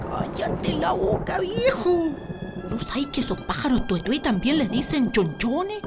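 High-pitched, anxious Chilean country wife. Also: female voice, very animated, measured pace, full character voice. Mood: fearful, scolding. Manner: colloquial, exclamatory country speech. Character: anxious, superstitious, bossy rural wife. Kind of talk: radio drama acting. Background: prominent music.

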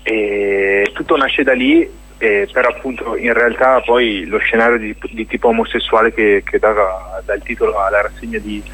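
A man's voice: fairly low pitch, fairly even intonation, moderate pace, hardly any pauses, a bit bright, breathy edge, low-quality recording. A man being interviewed on radio as a theatre director, reflective and hesitant as he searches for words while explaining his work.

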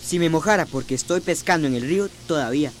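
Cheeky grumbling schoolboy for radio serial played by a male actor, higher pitch, slight noise. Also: fast, natural voice. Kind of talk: Spanish-language radio drama acting. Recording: faint background noise.

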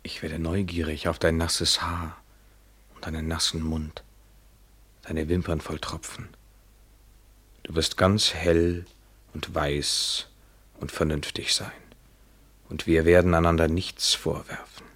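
A young man in love, low in pitch and intimate. He speaks quietly and poetically, in the style of vintage radio drama. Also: little pitch movement, slow, pauses often, husky, heavily acted. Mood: tender, sensual. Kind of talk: 1970s German radio play acting.

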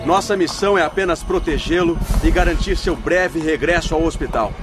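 Um ator interpretando o líder de uma guarda espiritual, autoritário e formal, numa radionovela. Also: male voice, a little high, moderate pace, music in the background, lightly acted. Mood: firm, commanding. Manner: authoritative, formal. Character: commanding male spirit guard leader, stern.

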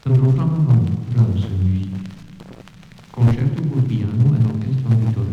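A man's voice: medium pitch, animated, moderate pace. Guy announcing classical music on old radio, formal, super echoey and tinny. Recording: echoing, tinny old radio disc recording.

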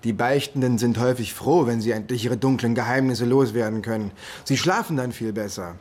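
An actor playing a pushy, easily flustered police detective in a naturalistic German radio drama. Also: male voice, medium pitch, brisk, darkish tone, close to an everyday voice. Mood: smooth, courting.

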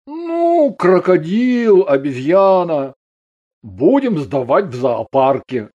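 A man voicing a gruff, wry but steady husband and father in a dramatised story. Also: on the high side, takes it slowly, dull audio, exaggerated voice.

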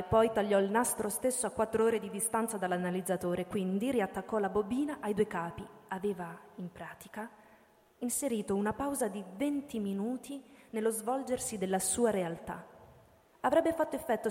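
A woman's voice: medium pitch, a little fast, a little mellow. A woman narrating a scripted story dramatically, as the narrator of a radio drama. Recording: reverberant hall with music behind. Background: music.

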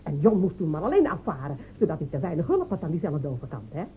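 A fierce village woman in a radio drama, needling and sharp-tongued. Her pitch leaps around as she sneers. The recording is thin, tinny and faintly noisy. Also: sing-song, fast talker. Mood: taunting accusation. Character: fierce, scornful village woman defending her dead man. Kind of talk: radio play acting.

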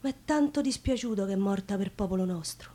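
A woman's voice: mid-range voice, animated, a little fast, over music, natural voice. An actress playing an outspoken, devout working-class Roman woman, telling her story in colloquial dialect in a theatre monologue. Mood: wistful. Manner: plain-spoken, colloquial, confiding.

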